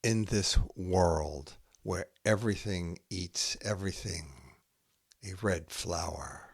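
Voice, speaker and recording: A man reading short poems aloud in a measured way, setting each brief phrase apart with pauses. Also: low voice, creaky, dry recording. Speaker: podcast host reading poetry aloud. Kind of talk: poetry reading, haiku recited line by line.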